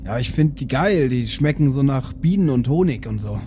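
A man's voice: mid-range voice, animated, moderate pace, dark tone, slight background noise, close to an everyday voice. A man playing an easygoing, simple-minded alien father in an improvised audio play, talking in a mild, rambling, casual way.

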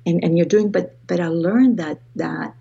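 A woman with a Greek accent talks in a low, husky and mellow voice. She is a guest author in an interview, reflective and repeating words as she finds her thought. The sound is a little dull. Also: moderate pace. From Greece. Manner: reflective, restarts phrases often. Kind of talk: podcast interview.

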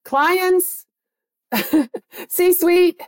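A middle-aged woman from the Netherlands, an agency owner, talking as a guest in an interview with an animated, enthusiastic manner. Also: extremely high pitch, drawn-out words. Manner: animated, enthusiastic, lively storytelling. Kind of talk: interview conversation.

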